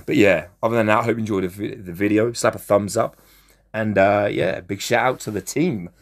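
A man with a lowish voice that has gone hoarse talks through a mobile strategy game. His delivery is chatty and matey, and he keeps breaking off to give shout-outs to players. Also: brisk. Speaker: gaming channel host. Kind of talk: casual gameplay commentary.

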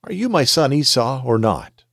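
A man reading aloud the words of a gentle, solemn old blind father in a scripture reading. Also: mid-range voice, very animated, normal speed, gravelly, no echo, studio quality, pitched above the performer's own voice, heavily acted.

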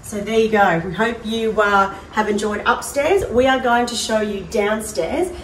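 Australian woman, echoey house-tour narration. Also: medium pitch. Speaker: presenter of a prize home tour. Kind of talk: casual walk-through tour narration. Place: walking through hard-surfaced apartment rooms. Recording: roomy echo, distant mic, background noise.

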